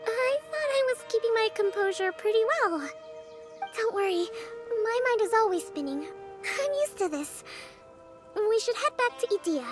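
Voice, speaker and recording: A woman playing a gentle, composed young female general in a video game, polite and measured. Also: extremely high pitch, animated. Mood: composed, wistful. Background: game music.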